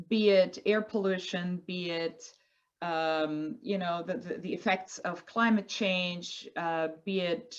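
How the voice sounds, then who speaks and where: Older Colombian woman, dull call audio. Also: mid-range voice. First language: Spanish. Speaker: panelist, environmental advocate. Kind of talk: explanatory panel talk. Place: virtual panel over video call.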